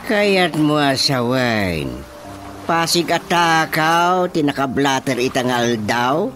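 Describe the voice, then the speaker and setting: Man acting blustering high-pitched village chairman, Ilocano accent. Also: expressive, slow pace, a bit bright, faint music behind. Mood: indignant. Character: blustering male barangay chairman, quick to exclaim. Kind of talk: Ilocano radio drama comedy acting.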